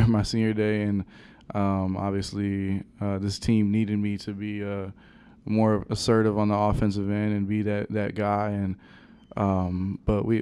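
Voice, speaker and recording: Young guy at a press table mic, mellow low voice, lots of ums and restarts. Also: smooth. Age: young adult. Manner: soft-spoken, halting, many fillers and restarts. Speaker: college basketball player. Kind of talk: post-game press conference answers. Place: press conference room with sponsor backdrop. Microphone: table microphone.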